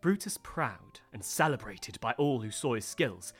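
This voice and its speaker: An actor plays a storyteller in an audio play, recounting old legends. The voice is middling in pitch, measured and earnest, with faint plucked guitar behind it. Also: animated, slightly rough. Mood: absorbed storytelling. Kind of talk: dramatic storytelling.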